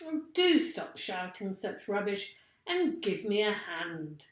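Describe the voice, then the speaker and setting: A woman reading a children's storybook as an excitable, bossy male monkey father who barks out orders. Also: mid-range voice, slow. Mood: snappy. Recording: echoey room.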